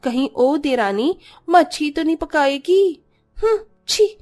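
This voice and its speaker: A woman's voice: high-pitched, lively intonation, takes it slowly, a little mellow, pitched above the performer's own voice. A woman playing a peevish, dramatic Punjabi elder sister-in-law who whines and complains indignantly in a cartoon story. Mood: whining, upset.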